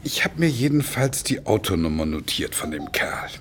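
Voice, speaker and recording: An actor playing a hesitant, stammering retired widower caught up in a radio crime drama. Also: male voice, low-pitched, sing-song, normal speed, slight background noise. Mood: shy, friendly. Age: older. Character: retired widower, smitten, hesitant amateur sleuth.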